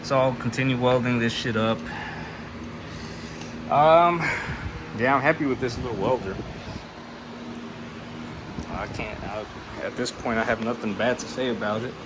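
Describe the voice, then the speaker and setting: A man's voice: mid-range voice, unhurried, pauses often, very noisy. A man giving a casual, unscripted DIY build walkthrough in a laid-back, plain-spoken, sweary way. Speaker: host of a DIY car build channel. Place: garage workshop.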